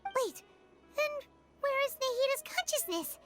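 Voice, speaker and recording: Super high squeaky little fairy sidekick for a game, excitable, really bouncy delivery, music behind. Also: female voice, a little slow, full character voice. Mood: confused, explaining. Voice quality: squeaky, childlike. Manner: expressive, refers to herself by name. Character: tiny excitable childlike fairy companion, female. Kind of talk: video game voice acting.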